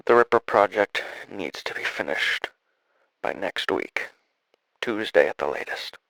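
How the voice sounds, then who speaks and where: Man acting as slow, gravelly dying scientist. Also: fairly low pitch, a bit bright, dry recording, voice pushed down, exaggerated voice, rough recording. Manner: halting, solemn, weary delivery with trailing phrases. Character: dying male scientist, regretful, desperate, recording audio logs. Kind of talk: audio drama acting, spoken log.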